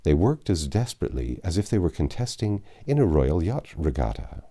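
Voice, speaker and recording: A man narrating a classic adventure novel aloud, with measured, dramatic phrasing and some drawn-out words. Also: low-pitched, sing-song, dark tone. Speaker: audiobook narrator.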